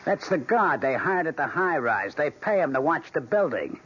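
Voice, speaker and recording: A domineering American husband in his forties in an old radio play. He is blunt and impatient, with a husky, fairly high voice that swings widely. The recording is dull. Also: big pitch swings, normal speed, fairly bright tone, a little hiss or hum. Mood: irritated, scornful. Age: 40s. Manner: curt, dismissive, blunt. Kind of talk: vintage radio drama acting.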